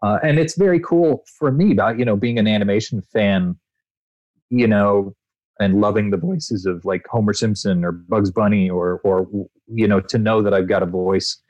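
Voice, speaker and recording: A male voice actor being interviewed, telling expansive, animated anecdotes with frequent restarts. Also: fairly low pitch, normal speed, dry recording, highs cut off. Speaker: voice actor guest. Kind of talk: interview answers, storytelling.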